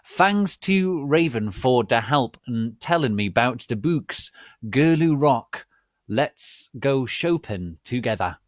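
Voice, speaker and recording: A man voicing a bratty, defensive teenage girl author, loud and whiny, in a comic dramatic reading. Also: mid-range voice, animated, slow pace, no echo, studio quality, voice pushed up. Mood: bratty, defiant.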